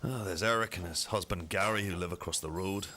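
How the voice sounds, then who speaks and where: A man acts out a police detective for an amateur radio drama. His voice sits low, and he questions in a measured, formal, matter-of-fact way. There is faint noise behind him. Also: sharp tone. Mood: musing.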